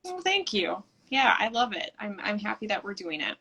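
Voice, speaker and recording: A woman guest on a live stream, chatting eagerly and poking fun at herself. Her voice is bright and forward, at a middle pitch. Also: big pitch swings, normal speed, creaky, a little hiss or hum. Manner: eager, self-deprecating, chatty. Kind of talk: casual chat on a live stream. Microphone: video call.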